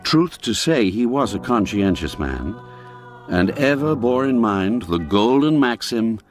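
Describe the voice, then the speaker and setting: A man narrating a literary story from a script in a measured, expressive storytelling manner. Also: on the low side, music in the background. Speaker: audiobook narrator.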